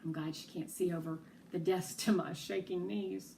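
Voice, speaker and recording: A woman reading a children's story aloud as an expressive storyteller, with animated, dramatic delivery. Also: fairly low pitch, moderate pace, creaky, recorded from a distance, slight background noise.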